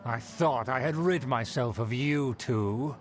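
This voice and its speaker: A man's voice: medium pitch, slow, close to an everyday voice. A man playing a pompous snobbish nobleman. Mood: haughty. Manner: pompous, formal, condescending. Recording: game dialogue with music behind.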